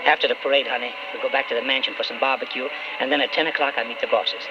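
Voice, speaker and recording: An American actor playing a brash, ambitious, folksy candidate and doting husband in an old-time radio drama. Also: male voice, mid-range voice, steady tone, rapid-fire, lightly acted. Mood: eager, confident. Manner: folksy, boastful, eager, calls everyone honey. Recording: muffled vintage radio recording with music.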